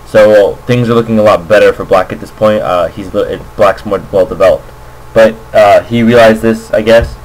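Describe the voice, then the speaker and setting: A man's voice: on the low side, steady tone, moderate pace, low-quality recording. A man giving casual, unscripted game commentary, hesitant and thinking aloud as he goes. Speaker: amateur Go player, commentator. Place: indoors at a computer, screen recording voice-over. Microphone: makeshift computer microphone.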